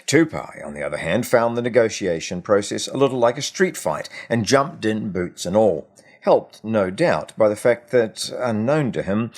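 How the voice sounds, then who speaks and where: A man, an author reading a story aloud in an expressive storytelling style. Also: deep voice. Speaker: author reading from his own book.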